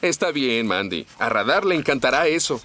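An actor voicing a calm, kindly, sensible firefighter hero in a children's cartoon dub, in Latin American Spanish. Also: male voice, medium pitch, moderate pace, heavy background noise, lightly acted. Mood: friendly, steady. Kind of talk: animated children's show dub.

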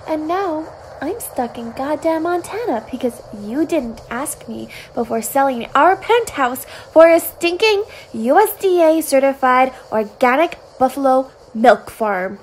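Woman acting bitter, sardonic wife, highish voice. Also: lively intonation, measured pace, few pauses, a little hiss or hum, low-quality recording. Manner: sarcastic, cutting, profane. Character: bitter, sardonic, fed-up wife of rich spouse. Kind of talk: radio play acting.

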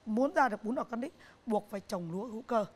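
A middle-aged woman, a business owner, answering interview questions on a TV talk show set in an earnest, halting way, often repeating herself. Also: fairly high pitch, slightly rough. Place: TV studio set, indoors.

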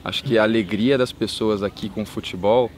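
Young guy, lowish voice, kinda searching for words, outdoor noise behind. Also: steady tone, brisk. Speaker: project cofounder. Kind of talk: documentary interview. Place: outdoors in a village.

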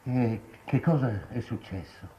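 An actor playing an obsequious, nosy gentleman in a 1950s Italian television period drama, speaking with ceremonious, insinuating courtesy. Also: medium pitch, unhurried, close to an everyday voice. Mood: fawning. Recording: dull, roomy 1950s TV soundtrack.

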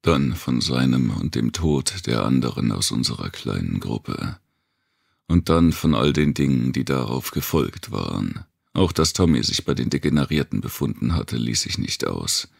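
A man narrating a post-apocalyptic thriller audiobook in the first person, with a measured, deliberate delivery and dramatic pauses. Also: a bass voice, very animated, gravelly, breathy edge, dry recording, studio quality.

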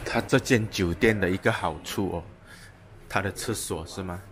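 A man's voice: sits low, moderate pace. A man casually walking viewers through a hotel, chatting and pointing things out as he films with a handheld camera. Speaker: travel vlogger. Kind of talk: casual hotel tour narration. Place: hotel lobby and rooftop, walking. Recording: noisy handheld camera audio.

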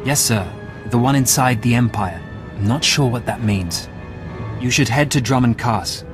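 An actor playing a dutiful young intelligence analyst in a video game, businesslike and deferential. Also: male voice, mid-range voice, moderate pace, music in the background, close to an everyday voice. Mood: earnest, businesslike. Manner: businesslike, deferential, matter-of-fact.

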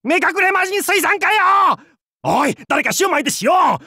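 A middle-aged, nerdy pirate from an anime game. He is goofy and slightly creepy, talking in theatrical slang. His raspy voice leaps extremely in pitch, in a dry recording. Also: wildly swooping pitch, normal speed, fairly bright tone, dry recording, exaggerated voice. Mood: panicked, clownish. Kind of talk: anime game character lines.

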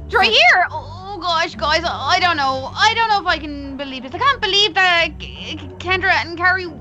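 A woman playing an excitable, sassy young princess who likes to tease, in a children's game roleplay. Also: very high voice, normal speed, soft background music, exaggerated voice. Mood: excited.

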